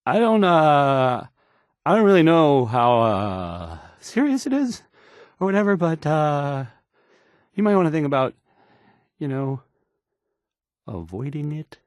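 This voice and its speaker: A man's voice: a little high, very animated, takes it slowly, pauses often, dry recording, studio quality. An actor playing a shy, awkward young radio DJ in a game, stammering and trailing off as he hesitantly reads the news. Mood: nervous, unsure.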